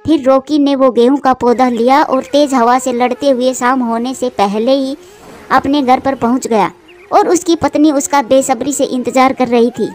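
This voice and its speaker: A woman with a high voice narrates a scripted cartoon story for children. She works as the storyteller between the characters' lines. Music runs behind her. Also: fairly even intonation, normal speed, darkish tone.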